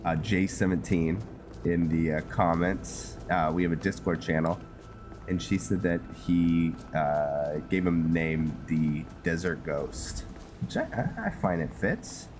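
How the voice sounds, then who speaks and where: A man with a very deep voice commentates casually on a video game. He speaks slowly, wandering and hesitating as he addresses himself and his viewers. Game music plays clearly behind him. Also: lively intonation. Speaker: gamer, host of a roleplay series.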